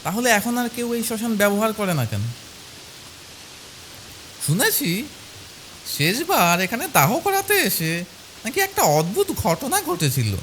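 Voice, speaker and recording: An actor plays a frightened young friend in an animated ghost story. The delivery is lively, with wide swings of pitch. Music runs behind the dialogue. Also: measured pace, pauses often, slight background noise. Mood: uneasy. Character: two young male friends, frightened and uneasy.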